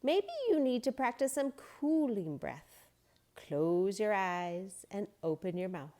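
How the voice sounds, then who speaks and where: A woman voicing a playful, calm boy yogi who gives slow instructions. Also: mid-range voice. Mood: instructive.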